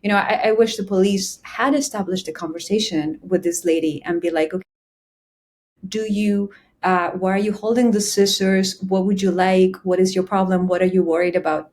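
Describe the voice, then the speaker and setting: Guest, female interpreter leader; measured and emphatic, mid pitch. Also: fairly even intonation, darkish tone. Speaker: interpreter association president. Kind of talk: conversational interview.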